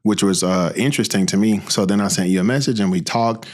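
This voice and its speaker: A middle-aged man hosting a podcast interview, talking in a relaxed, conversational way and often giving short acknowledgments. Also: lowish voice, fast talker. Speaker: podcast host.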